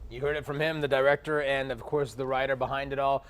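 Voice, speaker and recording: A man's voice: medium pitch, flat delivery, fast talker, smooth. A man hosting a live morning news interview as a TV anchor, encouraging his guest along.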